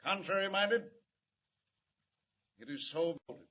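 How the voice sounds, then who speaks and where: An actor playing an authoritative but flustered city commissioner, formal and emphatic in 1940s radio serial style. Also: male voice, high-pitched, slow. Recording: muffled old radio recording with crowd.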